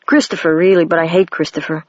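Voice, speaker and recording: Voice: medium pitch, little pitch movement, fast, dry recording, natural voice. A reader voicing a shy, earnest young boy who is a wizard, in a mildly raised version of their plain reading voice, on a dry, dull lo-fi home recording.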